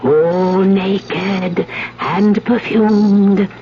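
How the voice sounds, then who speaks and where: An actress playing a sly, commanding sorceress who instructs in a deliberate, insinuating way in a 1970s radio drama. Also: female voice, mid-range voice, steady tone. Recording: muffled 1970s radio recording, music behind.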